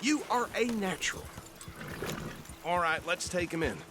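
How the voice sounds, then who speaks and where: A man's voice: high-pitched, expressive, a little slow. A man playing a chatty, bossy small-town deputy in a video game, with an accent of the American South and a folksy, polite manner. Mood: pleased, relieved. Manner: talkative, folksy, politely formal. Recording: game music behind the voice.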